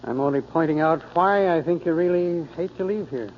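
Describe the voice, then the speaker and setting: An actor playing a gentle, perceptive, philosophical friend in a measured, literate 1940s radio drama style. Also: male voice, on the high side, close to an everyday voice. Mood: reflective. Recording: muffled, faintly noisy 1940s radio broadcast.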